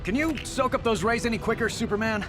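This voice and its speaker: An actor playing a brisk, quippy, impatient male superhero in an animated game cutscene. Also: extremely high pitch, loud music behind the voice.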